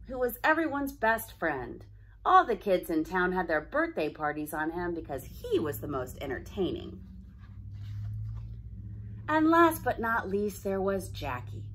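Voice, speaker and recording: A woman's voice: mid-range voice, a bit bright, husky. A woman narrating a children's storybook with dramatic emphasis on key words. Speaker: storybook reader.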